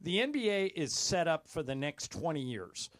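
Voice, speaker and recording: A man's voice: fairly high pitch, big pitch swings, normal speed, a bit bright. A man giving sports talk analysis as a broadcaster, laying out his argument point by point in a matter-of-fact way.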